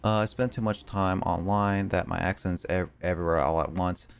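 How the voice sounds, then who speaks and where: A young American man streaming games, talking casually in halting, rambling bursts full of fillers and restarts. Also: low voice, steady tone, vocal fry, smooth. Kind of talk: casual chat while gaming.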